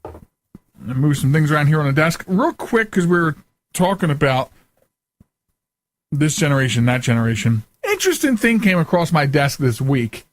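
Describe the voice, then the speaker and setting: Casual male talk host, higher-pitched, dry sound. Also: sing-song, normal speed, pauses often, no room sound. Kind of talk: casual radio talk, rambling. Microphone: close studio microphone.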